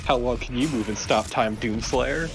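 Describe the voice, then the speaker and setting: A man's voice: medium pitch, normal speed, vocal fry, background noise. A man co-commentating on a video game in a casual, joking, exaggerating way. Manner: joking, hyperbolic ranting. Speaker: let's play co-commentator.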